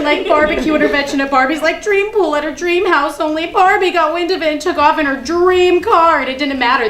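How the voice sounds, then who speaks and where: A high-pitched actress playing a defiant everywoman delivers a sardonic stage monologue. She is emphatic and theatrical, moving between confiding and outraged ranting. The room is clearly echoey, with some background noise. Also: fairly bright tone. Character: ranting, defiant everywoman gleefully confessing she killed Barbie. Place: live staged reading in a room.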